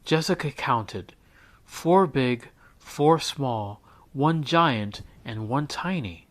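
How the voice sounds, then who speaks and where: A man reading a children's picture book aloud as a teacher, in an expressive storytelling style. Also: medium pitch, very slow.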